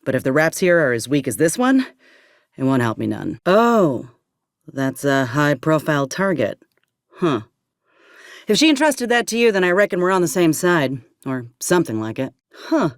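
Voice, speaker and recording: A tough, weary ex-army medic turned troubleshooter for a video game. Her voice sits on the low side and swings freely in pitch, with a drawl. She talks in a blunt, folksy, sardonic way, recorded close and dry. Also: very animated, moderate pace, no room sound. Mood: guarded, matter-of-fact. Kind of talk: video game character acting.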